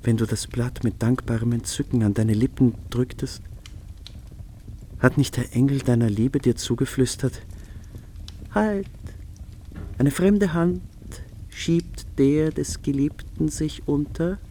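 An actor playing a remorseful, secretly tender schemer in a literary radio play, brooding theatrically and at times dropping into a whisper. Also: male voice, medium pitch, warm tone, slight background noise, close to an everyday voice. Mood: tender, guilty. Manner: slow, brooding, theatrical soliloquy with whispered phrases.

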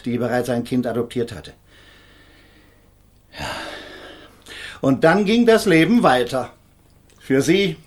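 Male actor as controlled middle-aged attorney and father, radio crime play. Mid-pitched, formal, earnest delivery. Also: a little mellow, lightly acted. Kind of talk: German radio crime drama acting.

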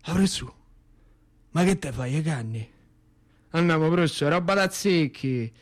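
A man's voice: fairly high pitch, lively intonation, moderate pace, voice pushed down, close to an everyday voice. Man acting a cheeky Roman teenage pupil. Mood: taunting. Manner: cheeky, taunting questions. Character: mocking, slangy teenage school pupil needling a teacher.

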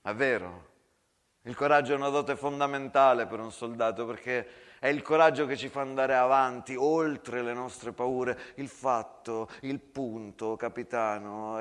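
Man performing menacing army officer, lower register, measured, smug, formal, theatrical war drama. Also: fairly bright tone, in a large hall, natural voice. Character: smug, menacing army major, interrogating with false courtesy.

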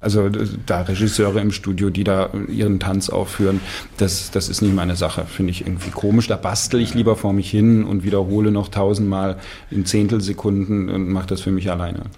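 A man who works as a radio producer, talking casually in a discussion with a husky voice. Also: low voice, flat delivery, brisk, dark tone, slight background noise.

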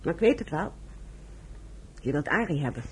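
An actress playing a fierce, blunt young kibbutz fighter woman with a husky voice in a radio play. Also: sits low, sing-song, normal speed, a little mellow, a little hiss or hum, poor audio. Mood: hostile, challenging. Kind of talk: Dutch radio play acting.

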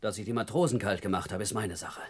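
An actor playing a brazen, menacing criminal on the run in a vintage radio crime play, with a mocking, slangy manner. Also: male voice, fairly low pitch, fast talker, husky. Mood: threatening, mocking.

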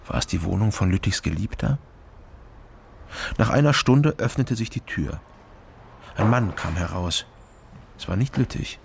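An actor playing a world-weary private detective, narrating a radio crime drama in a dry, matter-of-fact way. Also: male voice, lowish voice, creaky, slight background noise. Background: music bed.

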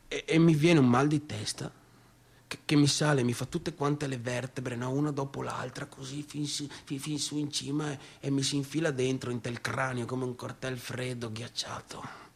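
An actor playing a disturbed provincial young man in a radio theatre monologue, with a northeastern Italian accent and a halting, repetitive, colloquial way of talking. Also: medium pitch, fairly even intonation, vocal fry, husky. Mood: agitated confiding. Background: music.

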